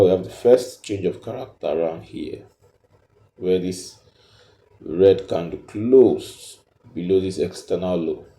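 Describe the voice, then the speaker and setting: A man with a low, very dark and mellow voice teaches step by step. He speaks slowly and haltingly, often repeating himself as he points things out. The recording is roomy and echoing. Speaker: trading tutor. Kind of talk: explanatory screen tutorial, step by step.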